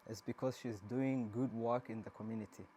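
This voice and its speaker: A man's voice: medium pitch, fairly even intonation, fairly quick, warm tone, slight background noise. A man from Rwanda hosting an interview, asking loose, rambling questions with frequent restarts. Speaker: interview host. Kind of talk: casual interview.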